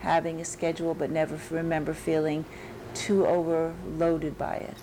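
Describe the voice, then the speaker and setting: An older American woman with a New York accent, recalling her teaching years in an oral history interview, pausing and restarting as she searches her memory. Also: low voice, level tone, background noise. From United States.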